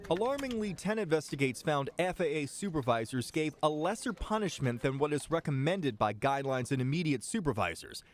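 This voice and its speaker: A man's voice: fairly high pitch, dry recording. An American man narrating a scripted investigative TV news report, recorded as a close studio voice-over. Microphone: close studio voice-over microphone.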